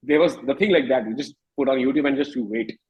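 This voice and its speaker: A man in his thirties with an Indian accent, speaking candidly and reflectively as an interview guest, often halting and rephrasing his thoughts. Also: mid-range voice, breathy edge, lo-fi sound. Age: 30s.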